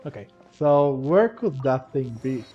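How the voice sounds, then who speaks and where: A man's voice: mid-range voice, animated, a little slow, muffled recording. A man giving casual playthrough commentary on a video game, musing hesitantly as he thinks aloud. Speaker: gaming video creator, player. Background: game music.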